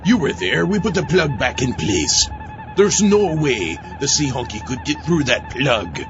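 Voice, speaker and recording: A man playing a gruff, exasperated, blustering sea captain in a theatrical comic audio drama. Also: a little high, wildly swooping pitch, a little slow. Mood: dismissive. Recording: dull, band-limited sound. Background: music.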